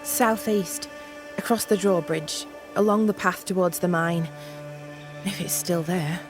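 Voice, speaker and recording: A woman's voice: fairly low pitch, measured pace, over music, natural voice. An actress playing a guarded, reluctant colonial woman in a video game, speaking in a terse, wary manner. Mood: recollecting. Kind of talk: scripted video game cutscene acting.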